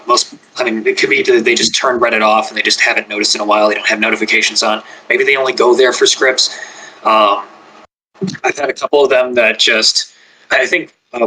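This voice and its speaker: A man's voice: fairly low pitch, pushed and bright, slightly rough, rough recording. A man who writes scripts, talking on a podcast in a hesitant way, often repeating his words. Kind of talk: casual podcast chat.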